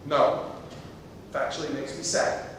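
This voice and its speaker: A man's voice: flat delivery, unhurried, lightly acted. A man playing an eccentric, chatty, pedantic but kindly stranger in a live stage comedy. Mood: wistful, earnest. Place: theatre stage, recorded from far off. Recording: distant, echoey theatre hall, noisy rumble.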